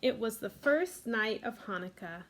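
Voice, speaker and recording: Higher-voiced woman reading a children's storybook. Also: expressive, measured pace, a bit bright. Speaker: library storytime reader. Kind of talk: children's picture-book read-aloud.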